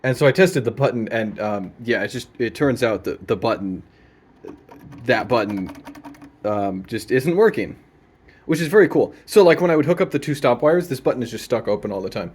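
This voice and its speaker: A man's voice: mid-range voice, animated, moderate pace, darkish tone, breathy edge. A man hosting a casual, unscripted gear demonstration at home, laid-back and playful with dry humour. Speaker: video host demonstrating vintage audio gear. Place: indoors in a room at home.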